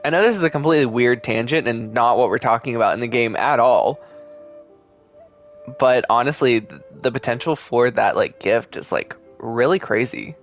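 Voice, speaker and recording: A man giving casual gaming commentary, musing as if thinking out loud. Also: medium pitch, dull audio. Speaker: gaming streamer. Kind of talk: casual commentary over a game. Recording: game music behind the voice.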